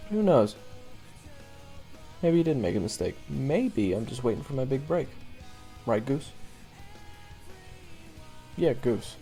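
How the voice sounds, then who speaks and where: Gravelly, seasoned monster hunter played by a man. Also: medium pitch, big pitch swings, warm tone, soft background music. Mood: casual, offhand. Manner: halting, conversational, drawn-out pauses, blunt. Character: seasoned monster hunter, gruff but easygoing mentor to a rookie. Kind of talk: audio roleplay acting addressed to the listener.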